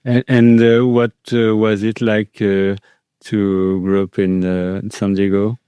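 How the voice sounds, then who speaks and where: A man hosting a podcast interview, asking questions in a halting way with many pauses and restarts. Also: lowish voice, little pitch movement, mellow, clean tone, no echo. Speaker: podcast host.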